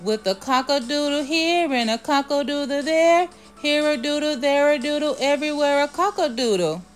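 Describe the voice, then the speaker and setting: Storybook rooster, played by a woman: high, excited, crowing and sing-song, music underneath. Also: normal speed, natural voice. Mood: merry singing. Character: eager singing rooster with crowing calls.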